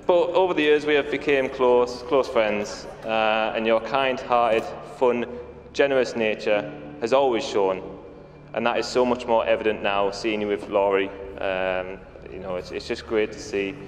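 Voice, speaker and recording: A man giving a wedding toast as a friend of the couple, speaking warmly with small hesitations. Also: on the low side, steady tone, a little slow, a little hiss or hum. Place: wedding reception venue. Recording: echoey hall with music over it.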